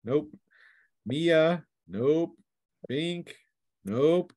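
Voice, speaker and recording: A man's voice: on the high side, animated, very slow, darkish tone, dry recording. A man acting as game master, casually narrating a tabletop game in a playful way, with spoken sound effects.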